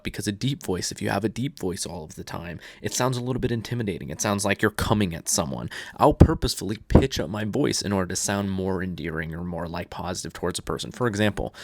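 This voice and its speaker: A young man giving casual advice to camera in a relaxed, chill way, slipping between higher and deeper pitches as he talks. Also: creaky, no echo. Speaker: self-improvement vlogger.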